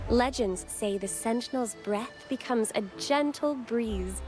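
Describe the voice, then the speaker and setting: High-voiced, devout acolyte, music behind. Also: female voice. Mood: reverent. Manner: polite, formal, reverent. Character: devout, courteous young woman acolyte of a religious order. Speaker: temple acolyte guide. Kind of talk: scripted video game dialogue, reverent exposition. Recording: game music under the voice. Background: game background music.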